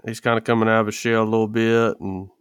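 A man's voice: fairly low pitch, little pitch movement, fast talker, smooth, no echo, clean studio sound. A man in a casual podcast chat, telling stories with folksy, rural phrasing. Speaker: podcast co-host.

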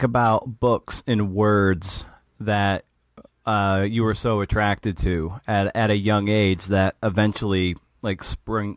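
A lower-voiced man hosts the interview, working his way to each question through restarts and "you know"s. His voice sounds dry and close, with little room around it. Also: no echo. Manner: rambling, searching questions with many restarts. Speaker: podcast host.

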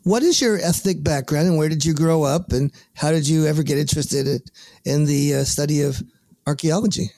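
A man hosting a podcast interview, asking questions in measured, formal phrasing with small stammers. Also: fairly high pitch, darkish tone. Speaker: podcast host. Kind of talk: interviewing a guest.

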